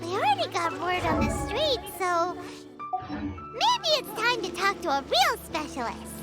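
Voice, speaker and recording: A tiny female fairy companion for an anime video game, with an extremely high, squeaky childlike voice. The delivery is bubbly and curious, bouncing widely in pitch, with prominent music underneath. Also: huge pitch swings, unhurried, heavily acted. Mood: thoughtful, upbeat. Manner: speaks of self in third person, bubbly. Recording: music behind the voice.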